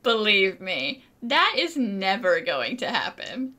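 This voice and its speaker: A woman's voice: mid-range voice, very animated, takes it slowly, background noise. A woman giving playful, sarcastic gameplay commentary, joking along as she voices her own side of the dialogue. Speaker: gaming channel host.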